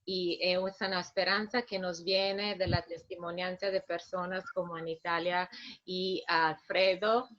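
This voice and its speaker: A woman who speaks as a non-native, hosting a webinar with spontaneous, halting remarks as she searches for words. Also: on the low side, little pitch movement, a bit bright. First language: not Spanish. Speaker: webinar host. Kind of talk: spontaneous closing remarks and thanks. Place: online video webinar. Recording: dull lo-fi online call.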